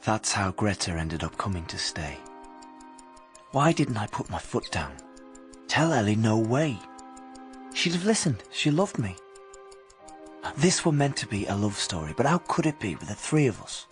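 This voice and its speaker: An actor playing a devoted but resentful young working-class husband in an emotional, intimate radio drama. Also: male voice, on the low side, very animated, normal speed, long pauses. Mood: haunted, regretful. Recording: music behind the voice.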